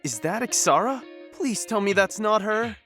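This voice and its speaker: A woman's voice: mid-range voice, lively intonation, normal speed, a bit bright, natural voice. A woman playing an easygoing, reassuring, easily smitten space biker recruit in an audio drama. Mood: smitten, worried. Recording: faint music under the voice.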